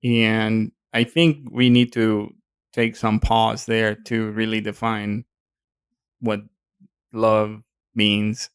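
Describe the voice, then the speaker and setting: A man's voice: fairly low pitch, little pitch movement, extremely slow, smooth, no room sound, clean studio sound. A man with a Mexican accent co-hosting a podcast, talking casually with many fillers and hesitations. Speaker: podcast co-host. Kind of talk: casual chat.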